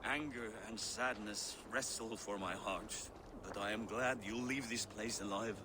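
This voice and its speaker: A man's voice: on the low side, steady tone, measured pace, noisy background, natural voice. An actor playing an older Viking lord and father in a video game, grave, stern and imploring. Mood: sorrowful. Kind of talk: video game cinematic acting.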